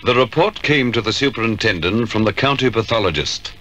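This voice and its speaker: Old-time radio crime narrator guy, lowish crisp forceful voice, very formal, bit hissy. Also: lively intonation. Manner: formal, deliberate. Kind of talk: scripted 1950s radio crime drama narration. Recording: hissy 1950s radio recording.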